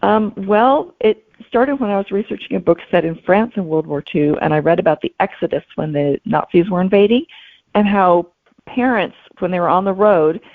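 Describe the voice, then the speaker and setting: Female author guest, gravelly fry in the voice, reflective casual explanations, narrow telephone-like sound. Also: medium pitch, moderate pace, no echo. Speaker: guest novelist. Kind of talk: casual interview talk. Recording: thin phone-like audio.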